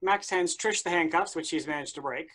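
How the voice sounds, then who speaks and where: A woman's voice: on the low side, fairly quick, fairly bright tone. A woman giving scripted narration, reading out stage directions for a play.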